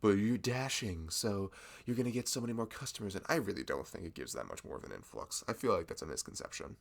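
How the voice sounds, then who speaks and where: A man's voice: sing-song, fast, heavily acted. A man playing a sweet, bashful young male florist in a chatty, hesitant ASMR roleplay, with a velvety voice. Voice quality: velvety, low, creaky. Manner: chatty, hesitant, rambling, self-deprecating. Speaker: ASMR voice performer. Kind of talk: ASMR roleplay, improvised casual chat.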